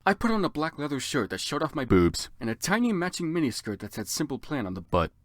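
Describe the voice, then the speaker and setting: A man's voice: a little high, very animated, quick pace, no echo. A man narrating a story theatrically for comedy, with sardonic asides as commentator. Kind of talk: dramatic comic reading with dry asides.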